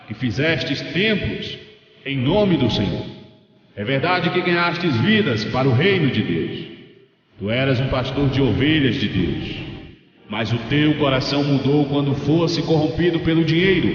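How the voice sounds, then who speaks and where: A man's voice: a little high, very echoey, in a large hall, distant mic. A man voicing a stern angel in a religious story, delivering judgment in a solemn, accusing, declamatory way. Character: stern male angel reading out divine judgment. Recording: muffled, noticeable background noise.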